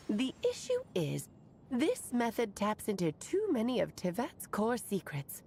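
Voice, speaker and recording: An actress playing a playful, affectionate magical woman in a video game, with theatrical, expressive phrasing. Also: medium pitch, vocal fry, a little hiss or hum. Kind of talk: scripted video game voice acting.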